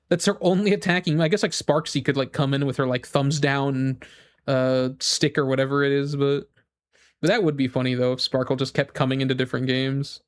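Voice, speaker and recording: A man's voice: mid-range voice, no room sound. A man chatting casually as a gaming streamer, rambling enthusiastically and thinking aloud with false starts. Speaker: streamer reacting and chatting with viewers.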